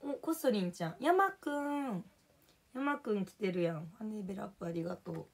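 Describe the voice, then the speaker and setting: A young woman chats on a livestream in a hoarse, worn-out voice. She talks casually, drifts from topic to topic, and reads out viewers' names as they come in. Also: medium pitch, lively intonation, normal speed. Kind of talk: casual live stream chat, thanking viewers for gifts.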